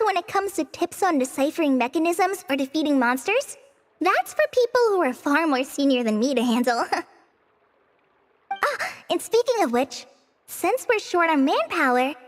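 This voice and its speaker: A woman voicing a modest, friendly young female forest ranger with soft nervous laughs in a game dub. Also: very high voice, big pitch swings, moderate pace, a little hiss or hum. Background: game music.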